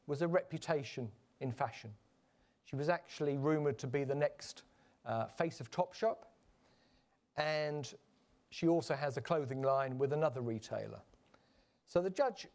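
A man giving a measured, explanatory conference presentation, with frequent fillers and restarts. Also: mid-range voice, a little mellow. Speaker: conference panel speaker. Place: conference stage.

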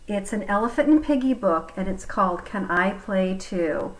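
A woman's voice: medium pitch, expressive, normal speed, rough recording. A woman in her forties introducing and reading a children's storybook aloud, expressively, as if to young children. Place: living room at home with bookshelves.

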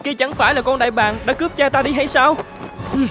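A performer playing a brave, defiant boy in an animated fairy tale, bold and taunting. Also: sits high, fast talker, over music, lightly acted. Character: brave, defiant boy, half-celestial son of a prince.

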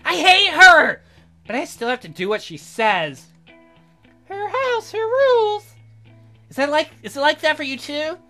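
A woman's voice: sits high, sing-song, unhurried, pauses often, sharp tone, soft background music, pitched above the performer's own voice, heavily acted. A woman voicing a brash, rebellious young punk musician girl in a visual novel, cheeky and exasperated.

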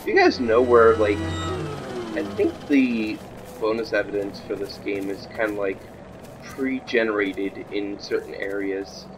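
A young man with a slightly croaky voice, chatting casually to viewers while playing a game, jumpy and easily startled. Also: fairly low pitch, expressive, a little slow, over music, low-quality recording. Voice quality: a little croaky. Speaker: gamer. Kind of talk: casual gameplay commentary.

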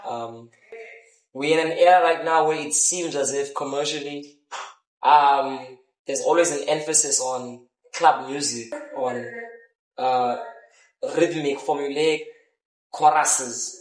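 A man asks long, carefully built questions in a steady, measured voice. The recording was made outdoors by brick walls and has a roomy echo. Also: a little high. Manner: measured, steady questions with frequent soft backchannels. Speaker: interviewer. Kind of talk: interview. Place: outdoors by a brick building porch.

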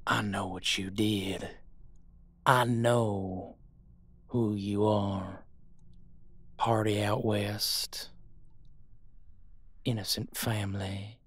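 A man's voice: lowish voice, level tone, extremely slow, pauses often, no room sound. A man voicing a vengeful, taunting monster hunter with a menacing drawl in an audio roleplay. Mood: bitter.

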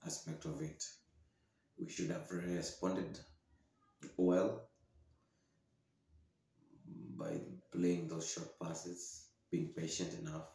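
A young man giving solo football commentary as a podcast host, halting and downcast, speaking into a desk microphone in a small room. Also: deep voice, warm tone. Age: young adult. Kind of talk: solo post-match commentary to camera. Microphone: desktop podcast microphone, close. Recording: very echoey room.

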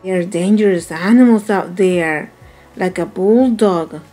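A woman's voice: on the low side, a little slow, warm tone, soft background music, voice pushed down. A woman voicing a patient, protective mother bird in a storybook, firm and motherly as she instructs. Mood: cautioning. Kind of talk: dramatized picture-book storytelling.

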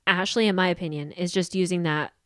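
A woman's voice: fairly low pitch, fairly even intonation, quick pace, no room sound. A woman co-hosting a podcast in casual, opinionated chat, blunt and sweary, often mimicking people's dialogue, close on a studio microphone. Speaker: podcast co-host. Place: small indoor podcast studio. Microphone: podcast microphone on boom arm, close.

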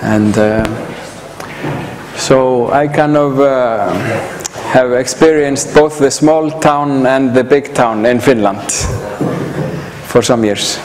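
A middle-aged man from Iceland with an Icelandic accent, giving an informal presentation in a hesitant, halting way full of pauses and filler sounds. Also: medium pitch, a crowd in the background. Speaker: conference speaker. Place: indoor hall, standing by a projector screen. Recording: echoey hall from afar, background hiss.

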